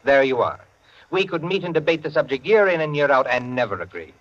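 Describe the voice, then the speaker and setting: A man's voice: fairly high pitch, sing-song, fairly quick, a bit bright. Pompous, swooping intellectual clubman. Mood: self-assured. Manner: pompous, lecturing, precise. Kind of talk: 1940s radio acting. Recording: muffled 1940s radio recording.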